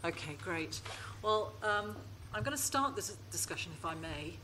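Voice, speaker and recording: Woman, panel host, lowish voice, hesitant with ums, faint room ambience. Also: animated, normal speed, background noise. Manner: warm-hearted, hesitant, with many ums. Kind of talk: moderating a live literary panel. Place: festival stage before a live audience.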